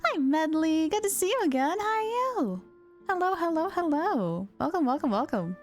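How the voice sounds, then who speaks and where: A woman's voice: very high-pitched, big pitch swings, normal speed, soft background music. A woman live-streaming a game, chatting casually with viewers in a bubbly, giggly manner.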